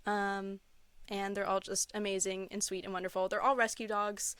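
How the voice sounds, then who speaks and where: Young woman, dry close sound, casual storytelling, gushing enthusiasm, medium pitch. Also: flat delivery, normal speed, fairly bright tone, clear, resonant tone, no echo. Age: young adult. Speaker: podcast guest, content creator. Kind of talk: casual chat, personal storytelling.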